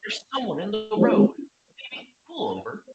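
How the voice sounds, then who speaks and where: A man's voice: high voice, sing-song, moderate pace, darkish tone, lo-fi sound, faint music behind. A man playing a mild, apologetic, concerned husband in a script table read.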